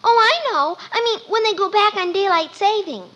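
A woman's voice: extremely high pitch, normal speed, pushed and bright, highs cut off. A female performer playing an earnest, muddled little American daughter in a 1950s radio sitcom. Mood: eager, earnest. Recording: noisy old radio recording.

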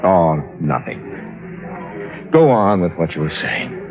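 A dull vintage radio recording with music carries a worried, skeptical man. He narrates earnestly, with wide dramatic swings in pitch. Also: sing-song, takes it slowly, exaggerated voice. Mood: weary, uneasy. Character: worried, skeptical man, first-person narrator, concerned friend.

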